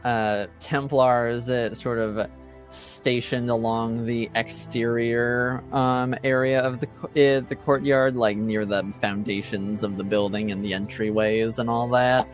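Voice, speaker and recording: A man's voice: medium pitch, steady tone, unhurried, soft background music. Hesitant man running a tabletop game. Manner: hesitant, lots of ums and uhs. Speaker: game master.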